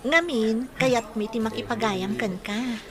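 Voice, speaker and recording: An actress with an Ilocano accent playing a friendly, forgiving young nurse in a radio drama. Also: female voice, fairly high pitch, a little slow, a crowd in the background, close to an everyday voice.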